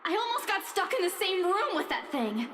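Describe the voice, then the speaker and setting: A woman's voice: very high voice, very animated, moderate pace, fairly bright tone, exaggerated voice. An actress playing a frightened young woman, distressed and insisting that she be believed, in a visual novel. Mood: frightened, pleading. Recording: reverberant echoey hall, faint noise.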